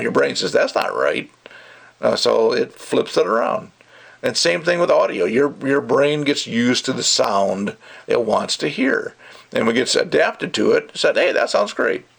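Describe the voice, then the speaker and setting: An older man with a gravelly, creaky voice giving a casual, rambling review in an opinionated, emphatic way. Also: mid-range voice, animated, moderate pace, fairly bright tone. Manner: opinionated, rambling, emphatic, acts out mocking quotes. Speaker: audio gear reviewer. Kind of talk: casual ranting video review.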